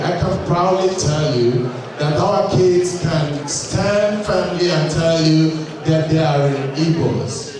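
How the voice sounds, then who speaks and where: A man giving a formal welcome address at a community gala, in slow, measured phrases with long pauses. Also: on the high side. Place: large hall at a community gala. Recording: echoey hall, distant mic, crowd chatter.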